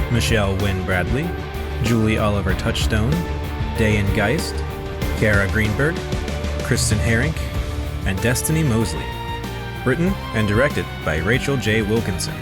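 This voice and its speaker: A man announcing the closing credits of a production in a scripted read. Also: on the low side. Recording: loud guitar music under voice. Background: guitar music.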